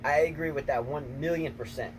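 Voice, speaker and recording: A man co-hosting a casual tennis chat outdoors, emphatic and repetitive, talking excitedly. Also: a little high, fairly quick. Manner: emphatic, repeats himself, excitable. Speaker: tennis channel co-host, coach. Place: outdoors, windy. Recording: noisy outdoor recording, wind.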